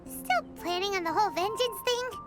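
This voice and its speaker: A woman voicing a tiny, excitable floating girl companion in a video game, squeaky and indignant. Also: moderate pace. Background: game music.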